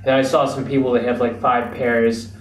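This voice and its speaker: A young American man telling his story as an interview guest, relaxed and reflective, with frequent fillers. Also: mid-range voice, normal speed, a little hiss or hum. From United States. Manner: relaxed, reflective, many fillers like "you know". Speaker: guest, entrepreneur. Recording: roomy, distant mic, faint ambience.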